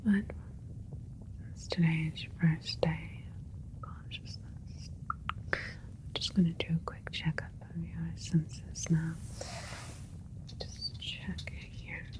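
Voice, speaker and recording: A woman playing a calm, clinical alien scientist in a sci-fi ASMR roleplay, speaking in a soft, breathy whisper with a gentle, methodical manner. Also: low voice, takes it slowly, pauses often, low-quality recording. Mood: attentive, clinical. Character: calm, clinical alien scientist doctor examining their creation.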